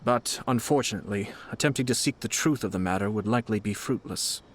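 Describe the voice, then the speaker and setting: A man playing a composed, shrewd young noble commissioner in a video game, speaking in a formal, polite, measured way. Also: fairly low pitch, slight background noise, close to an everyday voice. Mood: calm, thoughtful.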